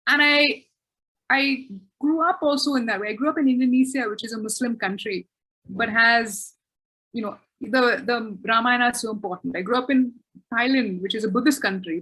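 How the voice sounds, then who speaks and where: A woman's voice: a little high, a bit bright, husky, rough recording. A woman author answering questions in a webinar, thoughtful and self-correcting, with frequent restarts.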